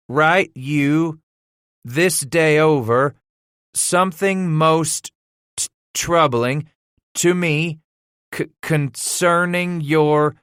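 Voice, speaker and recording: Man voicing a timid, higher-pitched farm boy. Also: pushed and bright, no room sound, studio quality. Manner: hesitant, rustic speech. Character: frightened teenage farm boy, timid but stubborn.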